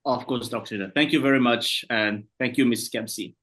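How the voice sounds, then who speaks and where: A man's voice: mid-range voice, fairly even intonation, moderate pace, a bit bright. Polite man moderating a video summit. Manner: polite, with frequent uhs. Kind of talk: moderating an online business summit.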